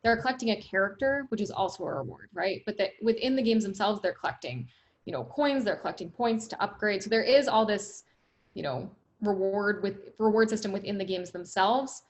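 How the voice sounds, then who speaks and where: Upbeat woman with a loud voice. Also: mid-range voice, expressive, brisk. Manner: upbeat, laughs often, fills with "right?" and "you know". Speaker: company representative guest. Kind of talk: conversational interview.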